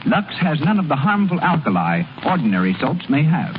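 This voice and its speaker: A man announces a vintage radio commercial from a script. His voice is fairly high and sweeps up and down. The broadcast is tinny with some hiss. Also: sing-song, fairly quick. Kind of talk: 1930s radio advertisement, scripted.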